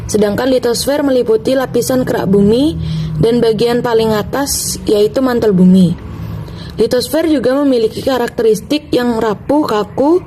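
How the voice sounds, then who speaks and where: A young woman with a slightly high voice co-hosts a student podcast. She reads prepared educational material in an explanatory style. Clear ambient rumble, like vehicles, runs behind her. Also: dark tone, low-quality recording. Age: young adult.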